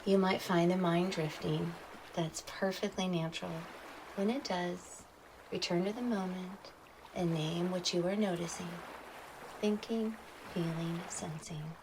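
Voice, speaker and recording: Slow-paced woman guiding a meditation. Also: on the low side, noisy background. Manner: soothing, instructional, with pauses between short phrases. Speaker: meditation guide. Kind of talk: guided meditation narration.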